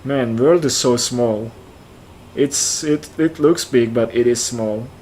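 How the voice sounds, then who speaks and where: A man's voice: medium pitch, takes it slowly, slight background noise. Easygoing Turkish-accented man in his forties. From central Turkey. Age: 40s. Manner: easygoing, answers chat messages aloud, thinks out loud. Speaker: flight sim streamer. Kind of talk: casual live-stream chat.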